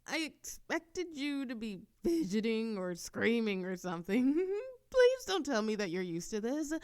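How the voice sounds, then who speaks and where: A woman acting a giddy, obsessive young kidnapper in an ASMR roleplay, doting and awkward, with halting, giggly rambling and cooing reassurance. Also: mid-range voice, a little mellow, smooth. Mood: giddy, teasing. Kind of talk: ASMR roleplay audio drama.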